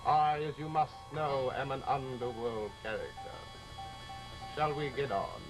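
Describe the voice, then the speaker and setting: A man's voice: mid-range voice, fairly even intonation, lightly acted, low-quality recording. Man playing suave, courtly Devil in radio horror. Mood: mock courteous. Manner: mannered, over-polite, deliberate, with dramatic pauses. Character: the Devil as a suave, proud, courtly gentleman dealmaker. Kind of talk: old-time radio horror acting. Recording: vintage radio drama, music behind.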